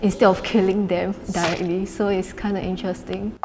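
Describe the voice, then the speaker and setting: A woman's voice: mid-range voice, flat delivery, a little fast, heavy background noise. A woman of twenty-four chatting in a teasing, offbeat way on a blind date over a lapel mic. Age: 20s. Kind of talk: casual chat. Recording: music bed and long reverb.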